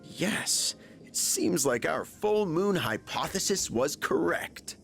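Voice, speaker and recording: A man playing a composed, suave, analytical leader in an English video game dub, measured and thoughtful. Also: a little high, big pitch swings, creaky, fairly bright tone, faint music behind, full character voice.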